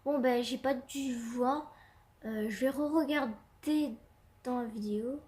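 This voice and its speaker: A young woman with a stuffy cold chats her way through a Minecraft session in a high, slow voice. She hesitates, restarts and fills every gap with fillers. A faint hiss sits under the recording. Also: a little mellow. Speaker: gaming channel host. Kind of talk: casual Minecraft commentary.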